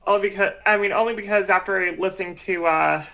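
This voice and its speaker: A man in casual chat who speaks haltingly, hesitating and repeating himself as he goes. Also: high-pitched, fairly even intonation, vocal fry, sharp tone, low-quality recording. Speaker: amateur voice project creator.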